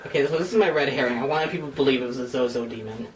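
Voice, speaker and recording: A man's voice: medium pitch, rapid-fire, a loud crowd. Casual man in a roomy, distant recording. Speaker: host of a script-reading session with friends. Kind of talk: casual chat and reading out stage directions. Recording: roomy, distant mic with faint noise.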